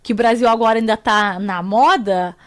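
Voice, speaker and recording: Brazilian woman host, slightly high voice, talkative, blunt opinions. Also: normal speed. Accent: Brazilian Portuguese. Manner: chatty, outspoken, animated asides. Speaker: podcast host. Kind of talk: casual podcast chat.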